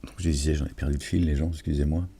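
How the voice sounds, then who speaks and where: A man's voice: rumbling low, flat delivery, rapid-fire, dark tone, husky. A man giving an informal, sermon-like reflection on scripture, preaching and addressing his listeners familiarly with frequent check-in questions. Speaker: online religious and political commentator.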